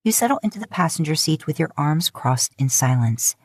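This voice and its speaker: A woman's voice: deep voice, expressive, brisk, breathy edge, dry recording, studio quality. A woman reading scripted fiction aloud as a podfic narrator.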